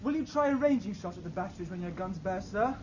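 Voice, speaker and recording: A man's voice: sits high, animated, quick pace, darkish tone. An actor playing an eager, jittery young midshipman messenger, formally reporting in 1950s radio drama style. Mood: dutiful. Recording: muffled, very noisy vintage radio recording.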